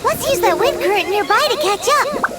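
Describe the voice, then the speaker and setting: Tiny chirpy fairy girl, very high squeaky voice, lively swoops, slow delivery, loud game music behind. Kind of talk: video game voice acting.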